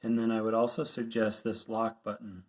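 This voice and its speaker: A man's voice: lowish voice, little pitch movement, normal speed, a little mellow, low-quality recording. A man giving an informal hands-on tutorial, explaining things plainly and step by step as an instructor. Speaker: radio instructor.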